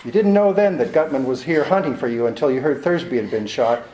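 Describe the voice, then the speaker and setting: A man's voice: medium pitch, fairly quick, dark tone, reverberant, natural voice, low-quality recording. An actor playing a hard-boiled, cynical male private detective in a 1940s-style radio drama, with a clipped, sardonic delivery. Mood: cold, probing.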